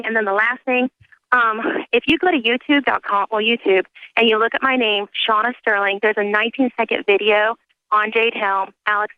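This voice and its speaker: A woman calling in to a radio show, talking in a hurried, earnest way and jumping between points with many "um"s. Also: on the high side, dry recording. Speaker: caller. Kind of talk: call-in phone talk. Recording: tinny phone line.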